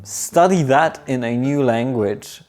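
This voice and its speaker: A man's voice: medium pitch, a little mellow. A young man, a non-native English speaker, giving a scripted video lesson as an aviation English tutor. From moved to Australia as a teenager. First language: not English. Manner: slow, deliberate explaining. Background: faint guitar music.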